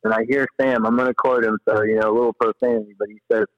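A man telling stories casually as a podcast guest, in an animated, slangy, rambling way. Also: fairly low pitch, fast talker, dry recording, highs cut off. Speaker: podcast guest, paddler and former river guide. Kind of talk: casual storytelling in an interview.

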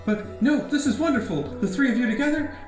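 A woman puts on a whimpering, grateful small sidekick for a comedy radio play. The voice is fairly high and feeble, sounding in an echoey room under loud music. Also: a little fast, close to an everyday voice. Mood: pitiful, grateful. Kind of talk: comic radio play acting.

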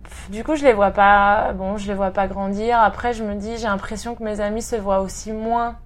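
A woman in her thirties from Brittany, a guest talking casually in an interview, with many pauses and fillers. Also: medium pitch, level tone. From Brittany, France. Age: 30s.

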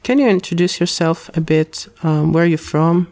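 A man hosting a podcast interview, speaking with careful, slightly halting phrasing. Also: fairly high pitch, a little mellow, dry recording. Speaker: podcast host. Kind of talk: casual interview.

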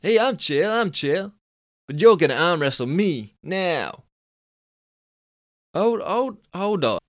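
A man's voice: sits high, very animated, slow, no room sound, studio quality, voice pushed up, exaggerated voice. A man voicing a brash young American snowboarding champion with a put-on Californian accent and a boastful surfer-dude drawl. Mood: rowdy.

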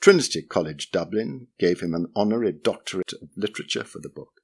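A man reading a memoir chapter aloud in a measured, dry and understated way. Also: sits low, expressive, vocal fry, husky.

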